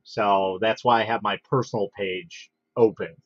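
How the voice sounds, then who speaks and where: A man's voice: on the low side, dry recording. Congested man chatting on a lo-fi stream. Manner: relaxed, halting, many fillers and restarts. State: congested with a head cold. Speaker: livestream host. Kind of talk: casual livestream chat. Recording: dull, dry lo-fi home stream audio.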